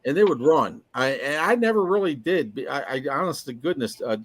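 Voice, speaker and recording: An American man from upstate New York speaking casually on a discussion panel, halting and repeating his words. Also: on the high side, expressive, husky, no echo. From upstate New York, United States.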